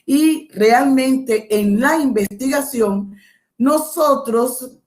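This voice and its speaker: A middle-aged woman from Cuba with a Cuban Spanish accent, giving an academic webinar lecture in a measured, explanatory way, with pauses and self-corrections. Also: sits high, darkish tone. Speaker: guest lecturer.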